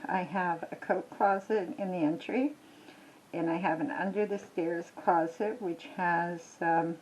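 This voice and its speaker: An older woman, retired, chatting casually with lots of ums while she works on a craft at home, her voice a little croaky. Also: lowish voice, a little hiss or hum, low-quality recording. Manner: rambling, chatty, many ums and restarts. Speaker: crafting vlogger. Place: small home.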